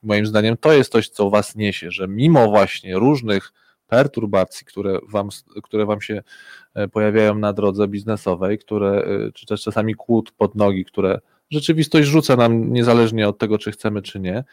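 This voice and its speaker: A man's voice: lowish voice, normal speed, a little mellow, no echo, studio quality. A man co-hosting a podcast with conversational commentary, speaking hesitantly and often repeating words.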